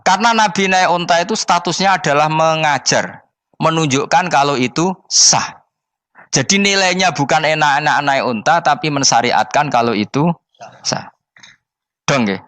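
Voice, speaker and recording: A man from Indonesia with a Javanese accent, preaching in a relaxed, joking, storytelling way, often asking rhetorical questions and repeating his points. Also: a little high, moderate pace, no room sound. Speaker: Islamic preacher. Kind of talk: sermon.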